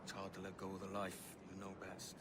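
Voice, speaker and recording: A man's voice: sits low, completely flat delivery, fairly quick, very noisy, close to an everyday voice. Blunt pirate captain, a man voicing him. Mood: grim, low. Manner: blunt, curt and commanding. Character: hardened young male pirate captain. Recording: game score under the voice.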